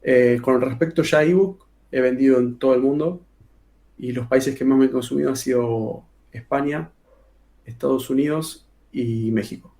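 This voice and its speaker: A man's voice: mid-range voice, moderate pace, creaky, warm tone. A man with a Rioplatense Spanish accent, an author talking casually in an interview, colloquial, with many filler sounds and restarts. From Argentina. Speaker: author guest.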